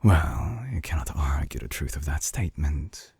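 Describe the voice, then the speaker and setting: A man playing a suave, obsessive villain scientist in an intimate audio drama, murmuring with silky condescension in a breathy, theatrical way, close to the mic. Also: animated, moderate pace, a little mellow, no room sound, full character voice. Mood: smooth, condescending. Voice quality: low, breathy, husky murmur. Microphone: close binaural microphone.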